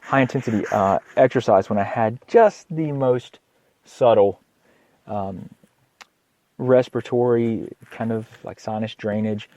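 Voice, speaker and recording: A slightly hoarse man with a cold hosts an informal health podcast as a doctor, speaking haltingly, repeating words and often clearing his throat. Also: lowish voice, warm tone, muffled recording. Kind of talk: informal educational health monologue.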